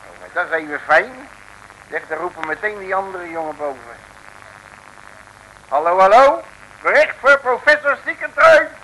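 High, boisterous comic detective. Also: male voice, animated, moderate pace, pauses often, sharp tone, heavily acted, rough recording. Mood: scheming, bossy. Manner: exclamatory, chuckling, colloquial. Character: boisterous, bossy, jovial male comic detective. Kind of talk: mid-century Dutch radio comedy serial acting. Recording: hissy 1950s radio recording.

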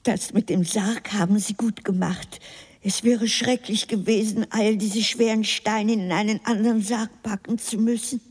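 An actress playing a wistful runaway lady in a German radio play, gentle, deliberate and confiding, in a reverberant sound. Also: medium pitch, fairly even intonation, slightly rough, natural voice. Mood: dreamy, relieved. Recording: long hall-like reverb.

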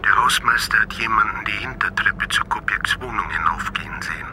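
A man voices a calm, quietly suspicious inspector who asks probing questions. The voice sits lowish, with loud music running under it in this radio drama. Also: fairly quick, natural voice. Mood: questioning.

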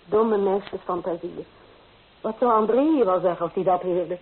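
Woman playing a scornful stern lady, admonishing manner, husky voice, radio play acting, thin phone-like old recording. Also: medium pitch, moderate pace, natural voice. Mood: scolding, threatening. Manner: belittling, admonishing. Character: stern, scornful woman scolding a romantic girl. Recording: tinny, narrow-band old radio recording.

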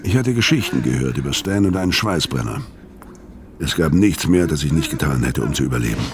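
A man's voice: extremely low pitch, lively intonation, a little fast. An actor playing a weary, hard-bitten hitman in a radio crime drama, terse and matter-of-fact as narrator and in dialogue. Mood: grim, pained. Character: weary, hard-bitten male hitman recounting his brutal past. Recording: music behind the voice.

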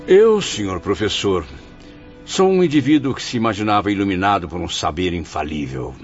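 An actor with a Brazilian accent playing a proud, embittered blind writer's spirit in a radio soap, declaiming theatrically. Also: male voice, deep voice, animated, normal speed. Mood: bitter, remorseful. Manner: theatrical, declamatory, grandiose, with swelling and sinking emphasis. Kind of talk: radio soap opera acting. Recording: dull lo-fi audio, music behind.

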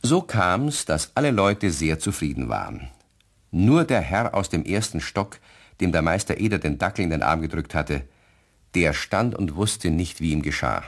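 A man's voice: deep voice, big pitch swings, normal speed, full character voice. A man narrating a scripted children's story in a German radio play, speaking vividly to young listeners. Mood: calm telling. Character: male storyteller addressing children.

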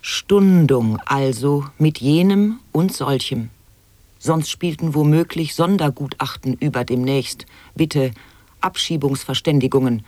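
An actor voicing a mock-serious reciter of nonsense permutations and absurd aphorisms, declaiming in an avant-garde radio play. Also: male voice, on the high side, a little mellow, dry recording, lightly acted.

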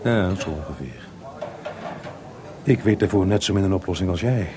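A fatherly, wry older colleague and composer in radio drama: fairly deep, measured, frank, noticeable background noise. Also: animated, dark tone. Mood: gently probing. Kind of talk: Dutch radio play acting.

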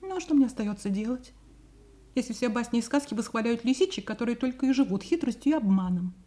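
An actress playing a sly, proud trickster fox in a fairy-tale audio play, theatrical and ironic. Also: female voice, fairly high pitch, expressive, fast, mellow, close to an everyday voice. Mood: mock repentant, defiant.

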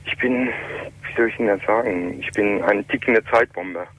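A man in his late thirties from Iran, calling in to a radio talk show and telling his story in halting, German. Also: on the low side. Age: 30s. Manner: halting, plain non-native German. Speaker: caller. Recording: muffled telephone line.

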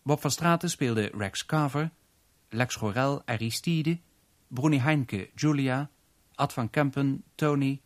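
A man's voice: mid-range voice, slow. A man working as a radio announcer, formally reading out scripted credits for a radio play.

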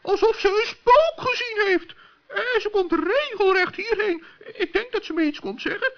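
A man's voice: very high-pitched, lively intonation, moderate pace, voice pushed up. A man voicing a small, cheerful, inquisitive forest gnome in a children's radio play, chirpy and polite. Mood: curious surprise. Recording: dull old radio recording.

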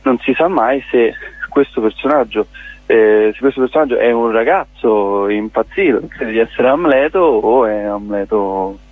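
A man's voice: mid-range voice, narrow, tinny audio. Young man speaking thoughtfully by phone. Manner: thoughtful, halting with restarts. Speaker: playwright and director guest. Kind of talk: phone interview. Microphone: telephone line.

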